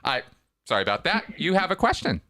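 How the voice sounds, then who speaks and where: Bright, crisp-voiced male podcast host. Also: on the high side, quick pace. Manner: relaxed, rambling, many restarts. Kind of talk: casual live call-in chat.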